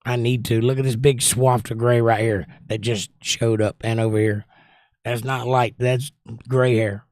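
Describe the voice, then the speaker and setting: A slightly hoarse middle-aged man hosting a livestream, chatting casually and teasing his viewers as he reads their comments. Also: mid-range voice, little pitch movement, measured pace, darkish tone, no echo, clean studio sound. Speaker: livestream host. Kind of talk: casual chat reading viewer comments aloud.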